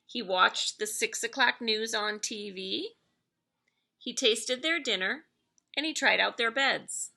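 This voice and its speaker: A middle-aged woman reading a children's story aloud in an expressive, deliberate storytelling manner. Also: a little high, gravelly. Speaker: elementary school staff member reading aloud to pupils.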